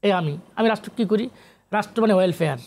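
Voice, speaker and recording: A man's voice: high-pitched, quick pace, creaky, darkish tone. A man from Bangladesh talking as an interview guest, emphatic and repetitive, posing rhetorical questions and mixing in English words. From Comilla, Bangladesh. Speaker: guest poet and researcher. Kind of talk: interview talk.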